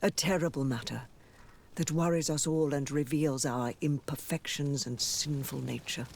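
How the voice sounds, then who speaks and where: An actor playing a dignified, pious high cleric in a video game, speaking formally and solemnly. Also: steady tone, normal speed, vocal fry, a little hiss or hum, close to an everyday voice. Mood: grave, fervent.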